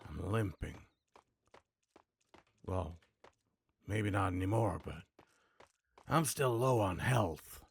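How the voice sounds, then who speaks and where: A man doing video game commentary and voice practice in a dry, deadpan way, muttering at times. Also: deep voice, slow pace, long pauses. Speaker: gamer practicing voice acting. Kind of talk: gameplay commentary mixed with character-voice practice. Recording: clearly audible background noise, clicks.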